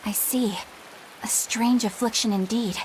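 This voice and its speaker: A woman's voice: on the high side, fairly even intonation, a little slow, slight background noise, close to an everyday voice, low-quality recording. A woman playing an earnest, proper young crewmate in a video game, polite and inquisitive. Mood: concerned questioning.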